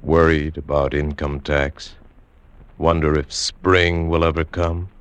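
A man announcing a 1940s radio drama in an ominous, deliberate, theatrical style. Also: very deep voice, flat delivery, clear, resonant tone. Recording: vintage 1940s radio recording.

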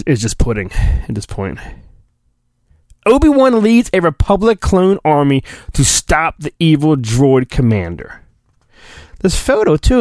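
A man's voice: mid-range voice, darkish tone, no room sound, clean studio sound. A male podcast host giving a mock-dramatic storybook reading, with hammy emphasis and rambling joking asides. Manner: hammy, exaggerated emphasis, digressive.

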